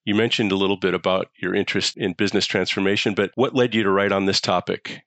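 Low-voiced man hosting, dry sound. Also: steady tone, fast talker, fairly bright tone, no room sound. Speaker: podcast host. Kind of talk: hosting an interview podcast.

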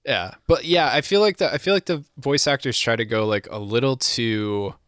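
A man's voice: medium pitch, normal speed, a bit bright, clear, resonant tone, no echo, clean studio sound. A young man co-hosting a podcast in casual chat, rambling with lots of fillers and breaking into playful impressions. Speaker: podcast co-host.

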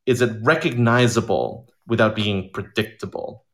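Low-voiced man, deliberate and measured. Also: a bit bright. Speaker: audio drama creator, interviewee. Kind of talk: informal live conversation interview.

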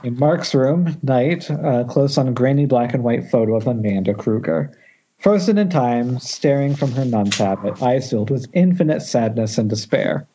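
A man's voice: mid-range voice, expressive, moderate pace, dark tone. A man narrating screenplay stage directions at an amateur table read, now and then stumbling and rereading words. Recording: muffled internet call, faint noise.

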